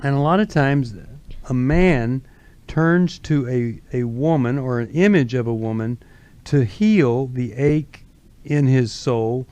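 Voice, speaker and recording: A middle-aged man hosting a call-in radio talk show, speaking earnestly and emphatically with deliberate pauses. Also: medium pitch, darkish tone, smooth, no room sound. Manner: earnest, emphatic, pausing for effect.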